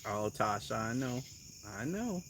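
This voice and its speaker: A woman with a Boston accent hosting a casual live chat at home, blunt and quick to swear, laughing often as she reacts to comments. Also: a bass voice, big pitch swings, background noise. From Boston area, USA. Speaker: channel host.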